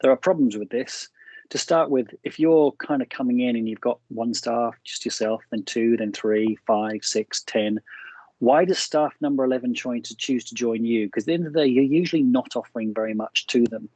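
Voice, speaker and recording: A man's voice: medium pitch, moderate pace, darkish tone, no room sound, poor audio. A middle-aged man with an Australian accent, giving a frank, cynical seminar talk over a video call with many fillers and restarts. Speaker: guest speaker.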